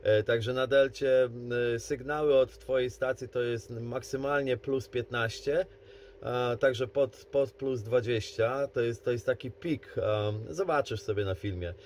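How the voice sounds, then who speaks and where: A man talking casually as an amateur radio operator, explaining things in a halting way. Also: mid-range voice, fairly even intonation, a little hiss or hum. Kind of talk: casual two-way radio chat.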